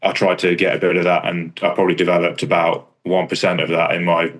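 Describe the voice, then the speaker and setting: A man's voice: flat delivery, quick pace, a bit bright. A man, chatting casually in a rambling, understated, self-deprecating way as a fighter and coach. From England. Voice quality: deep voice. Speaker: kickboxer and striking coach. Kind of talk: casual interview chat about own career.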